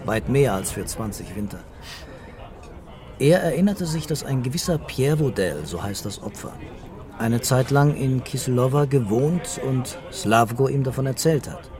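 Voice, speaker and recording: An actor playing a brooding, terse police commissioner in a German radio crime drama. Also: male voice, medium pitch, animated, moderate pace, a crowd in the background, close to an everyday voice. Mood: musing. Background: music and vehicle ambience.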